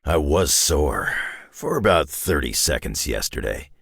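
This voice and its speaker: An actor playing a gruff, cocky, battle-hardened brawler in a video game, with a raspy growl and a dry, sardonic delivery. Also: male voice, a bass voice, big pitch swings, a little slow, a bit bright, no room sound, full character voice. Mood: gruff, matter-of-fact.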